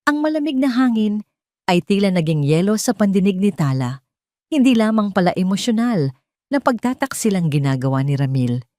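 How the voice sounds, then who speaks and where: A woman's voice: mid-range voice, big pitch swings, moderate pace, dark tone, no room sound, clean studio sound. A woman narrating a scripted romance drama as a storyteller, in a dramatic style.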